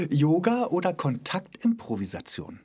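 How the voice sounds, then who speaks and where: A man hosting a podcast in a relaxed, joking manner, interviewing a colleague. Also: a little high, breathy edge. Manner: playful, lively, jokes with the guest. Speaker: podcast host, actor and author at a children's theater.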